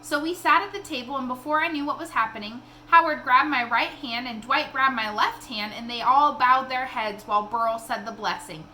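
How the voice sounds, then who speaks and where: A woman reading a children's novel aloud in an expressive storytelling style. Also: high voice, moderate pace, few pauses, a little hiss or hum.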